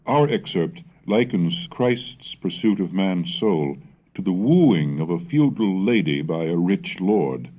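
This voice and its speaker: A scholarly man with a low, dark voice narrates an educational cassette from the 1970s. His delivery is unhurried and husky, with wide, expressive swings in pitch. He sounds like a measured lecturer who relishes reciting antique verse. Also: muffled recording. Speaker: reader and lecturer on English language history. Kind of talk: scripted educational narration with dramatic recitation of Middle English texts.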